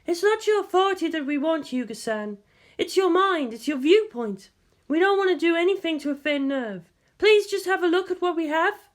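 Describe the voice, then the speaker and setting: A narrator doing a high-pitched polite young woman. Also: lively intonation, moderate pace. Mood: eager, polite. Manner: polite, businesslike. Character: polite, earnest young woman, animation concept artist.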